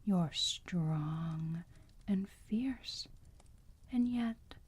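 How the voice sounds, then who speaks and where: Woman playing a female vampire caretaker, very breathy whisper, lowish pitch, gently teasing, soothing, ASMR roleplay, close mic. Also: very slow, full character voice. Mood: tender, musing. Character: motherly, teasing female vampire nursing a wounded enemy. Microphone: close microphone.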